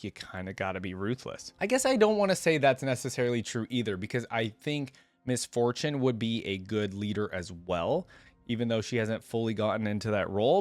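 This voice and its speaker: A man's voice: fairly low pitch, no echo. A man hosting a reaction video, giving casual commentary and rambling as he thinks aloud.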